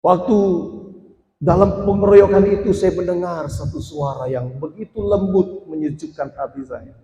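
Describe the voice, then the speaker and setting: A man in his forties delivers a sermon testimony in a slightly raised register. He talks straight to the congregation and swells into loud, emphatic stretches. The church hall rings with a long, echoing reverb. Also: sits high, normal speed, highs cut off. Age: 40s. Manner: addresses congregation directly, rises to emphatic loud passages. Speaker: preacher giving testimony.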